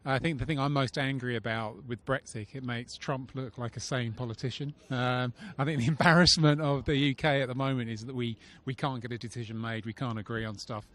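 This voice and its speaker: A man from London, speaking in a measured, reflective way in a street interview. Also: mid-range voice, fairly even intonation, a bit bright. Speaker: passerby interviewee. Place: outdoors on a city street.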